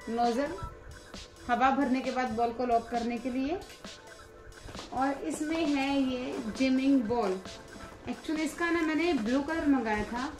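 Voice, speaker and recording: A young adult woman, giving a casual, explanatory product review to camera and mixing in English words. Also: high-pitched. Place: living room at home, indoors. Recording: echoey room, music behind.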